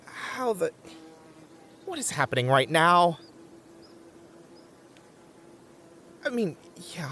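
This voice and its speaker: A man's voice: a little high, fairly bright tone, a little hiss or hum, heavily acted. A man playing an anxious, sensible young camper in a comedy audio roleplay, wry and hesitant, often stammering as the voice of reason. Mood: bewildered, wry.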